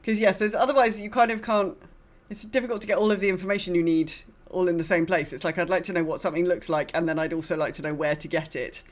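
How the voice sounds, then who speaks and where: A woman's voice: lowish voice, fairly even intonation, fast, hardly any pauses. A woman co-hosting a gaming podcast, talking in casual conversation. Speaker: podcast co-host.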